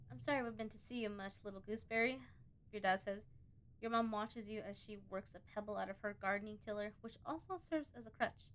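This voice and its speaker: A woman doing a casual let's play, reading on-screen game text aloud and breaking off to think out loud. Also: a little high. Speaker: gaming video host.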